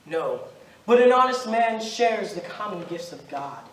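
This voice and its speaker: Young male actor as Judas-like accusing spirit: high voice, solemn and deliberate, amateur stage drama, picked up from across a roomy space. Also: expressive, a bit bright. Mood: ominous, mournful. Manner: solemn, deliberate, declamatory storytelling. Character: Judas, ghostly accusing stranger, eighteen centuries old, grave and relentless. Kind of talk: amateur stage play acting. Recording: roomy, noisy stage recording from far off.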